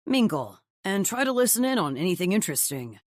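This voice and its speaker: A woman's voice: medium pitch, big pitch swings, a little fast, dry recording, lightly acted. A woman voicing an easygoing male office colleague in a romance audiobook, with a dry, knowing manner. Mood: advising.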